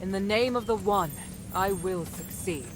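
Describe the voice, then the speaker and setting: A woman's voice: mid-range voice, unhurried, very noisy, close to an everyday voice. An actress playing a proud, resolute young noblewoman in a fantasy game, composed, formal and firm. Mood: determined. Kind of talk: dramatic game cutscene acting.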